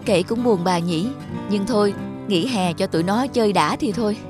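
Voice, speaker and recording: A woman's voice: medium pitch, normal speed, over music, close to an everyday voice. A woman as a friendly, considerate younger cartoon employer. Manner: respectful, easygoing. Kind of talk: cartoon dubbing.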